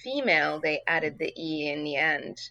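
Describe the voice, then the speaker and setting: A woman from Morocco speaks in a slightly low voice. She is a political candidate giving earnest answers as an interview guest. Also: lively intonation, moderate pace, bright, forceful tone, highs cut off.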